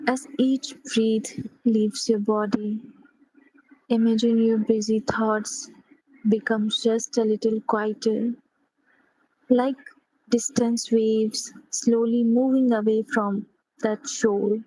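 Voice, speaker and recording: A woman leading a guided sleep meditation in a gentle, unhurried manner, pausing between short phrases. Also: a little high, flat delivery, dry recording, rough recording.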